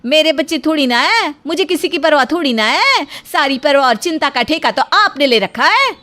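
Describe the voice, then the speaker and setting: Woman acting a high-voiced, sharp-tongued young daughter-in-law. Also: animated, normal speed. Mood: sarcastic, scolding. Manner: sarcastic, scolding, aggrieved. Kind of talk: Hindi cartoon moral-story acting.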